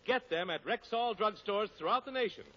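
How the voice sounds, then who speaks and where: A man's voice: sits high, brisk, bright, forceful tone. A man speaking as a 1940s radio straight man and announcer, with a polished, emphatic scripted delivery. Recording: muffled, noisy old radio recording.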